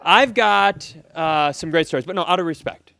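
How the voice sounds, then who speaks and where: A man's voice: a little high, normal speed, creaky, sharp tone, no room sound, studio quality. A man hosting a comedy podcast, telling stories in casual banter and acting out little quotes as he goes. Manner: jokey storyteller, acts out short quotes.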